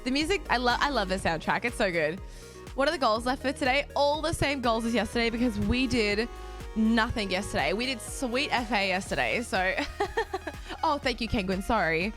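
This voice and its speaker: Bubbly young woman streamer, lively voice. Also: fairly high pitch, music in the background. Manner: bubbly and chatty, laughs often, quick asides to chat. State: slightly raspy, just woken up. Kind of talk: casual chat with a live stream audience.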